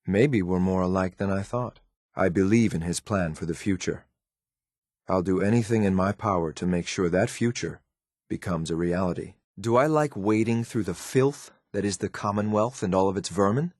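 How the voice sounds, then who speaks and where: Deep-voiced, clipped, loyal synth soldier. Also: male voice, moderate pace, darkish tone, dry recording, studio quality. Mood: earnest. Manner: clipped, military, deferential yet blunt. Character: loyal, coldly disdainful synth soldier, dutiful right-hand man. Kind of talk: video game companion voice lines.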